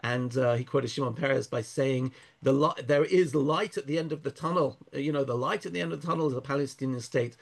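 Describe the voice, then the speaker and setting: A man speaking thoughtfully in an interview, pausing and restarting often, weaving in Hebrew verses. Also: medium pitch, vocal fry. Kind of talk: conversational interview.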